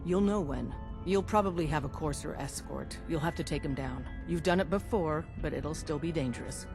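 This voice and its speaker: A man's voice: fairly high pitch, normal speed, vocal fry, over music. An actor playing a determined male resistance strategist in a video game, giving a matter-of-fact, urgent briefing. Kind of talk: video game cutscene acting.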